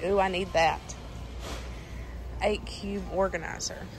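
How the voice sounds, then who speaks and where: Woman, Southern US accent, unhurried casual shopping-vlog delivery, gushing and informal, clear ambient noise behind. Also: fairly low pitch, fairly even intonation. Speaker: shopping haul vlogger. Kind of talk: casual vlog chat, remarking on products and prices.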